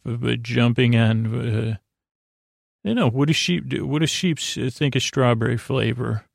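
A man with a heavily fried, gravelly voice, recorded close and dry. He rambles through a silly bedtime monologue at a middling pitch. He often stumbles, doubles back on his words and lets sentences trail away. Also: no echo. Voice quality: creaky, gravelly. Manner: whimsical, silly. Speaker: podcast host.

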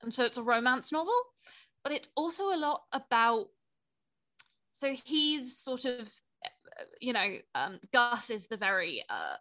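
Bright, high-voiced young woman chatting. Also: lively intonation, measured pace, long pauses. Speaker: podcast co-host. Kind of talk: casual book chat.

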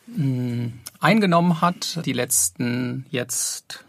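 A man with a mid-pitched voice chats in a relaxed interview setting as an audio producer. There is some creak in his voice. The recording is close and dry, with no room sound. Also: slow, no echo. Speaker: audio drama producer and composer being interviewed. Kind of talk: casual interview conversation.

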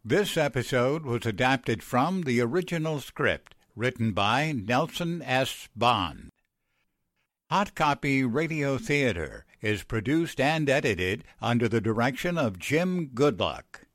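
A man announcing from a script in old-time radio style, formal and deliberate. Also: medium pitch, expressive, a bit bright, no echo, clean studio sound.

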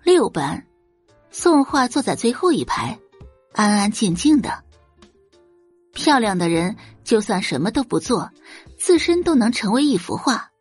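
A woman's voice: a little high, sing-song, a little slow, long pauses, slightly rough, no echo, soft background music. A woman narrating a web novel as a dramatized audiobook, telling the story expressively.

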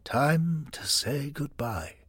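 A man doing a gentle, husky old doctor. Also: medium pitch, expressive, slow, no room sound, voice pushed up, heavily acted. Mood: weak, tender. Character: older male doctor, kindly, frail at the end.

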